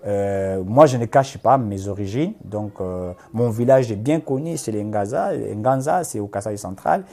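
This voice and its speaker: A man's voice: on the low side, moderate pace, gravelly, mellow. A man with a Congolese accent speaking as a political activist in an interview, emphatic and impassioned, repeating points and switching between two languages.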